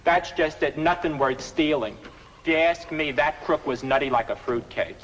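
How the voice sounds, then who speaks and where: An actor playing an argumentative working-class truck driver who talks in aggrieved, colloquial phrases in a 1950s film. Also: male voice, on the high side, moderate pace, a bit bright, lightly acted. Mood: indignant. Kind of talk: 1950s film comic character acting. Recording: noisy, reverberant old film soundtrack.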